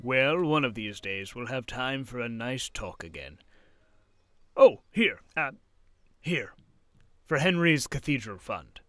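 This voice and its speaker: An actor playing a kindly, whimsical old professor with a theatrical, musing manner in period drama style. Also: male voice, medium pitch, big pitch swings, slow pace, pauses often, bright, forceful tone, no room sound, exaggerated voice. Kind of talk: period radio-drama acting.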